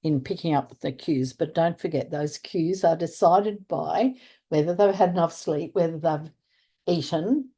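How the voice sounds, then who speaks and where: A woman's voice: low-pitched, unhurried, mellow, slightly rough. An older Australian woman talking earnestly in conversation, searching for words and stressing key points. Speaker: restorative practice trainer. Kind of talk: conversational interview.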